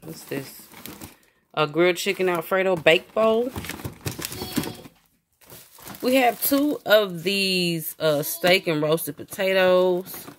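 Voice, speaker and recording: A woman's voice: fairly low pitch, takes it slowly, pauses often. A woman vlogger chatting casually and enthusiastically to viewers about groceries in a folksy way, recorded on a handheld camera in a home kitchen. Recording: clear background noise, crinkling packaging.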